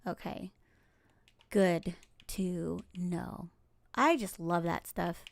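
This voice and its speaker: A woman in her forties with a lowish, gravelly voice talks unhurriedly over a game she is playing. She rambles in a chatty way, with fillers, soft laughs and murmured asides to herself. She is from Los Angeles, and her first language is Tagalog. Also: drawn-out words, no echo. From Los Angeles, United States. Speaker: game streamer. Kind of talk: casual gameplay commentary.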